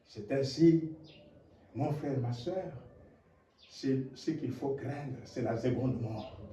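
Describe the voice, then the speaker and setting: A man preaching a sermon, impassioned, dropping to low murmurs and rising to shouted rhetorical questions. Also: takes it slowly, slight background noise. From DR Congo. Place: church hall. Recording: echoey, distant, dull lo-fi church recording.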